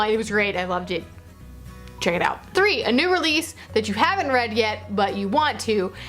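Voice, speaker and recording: A woman with a fairly high voice chats informally to camera like a vlogger. She is effusive and a little self-deprecating, and some music plays behind her. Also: a bit bright. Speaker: book vlogger. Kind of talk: casual chat to camera.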